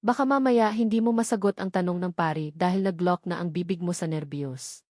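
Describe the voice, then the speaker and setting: A woman's voice: fairly low pitch, brisk, darkish tone, no echo, close to an everyday voice. A teasing little sister of a bride, as a woman narrator plays her, admiring and playful in a romance audiobook. She has a Filipino accent. The sound is dry, with hardly any room. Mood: teasing reassurance. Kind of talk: acted dialogue in a storybook narration.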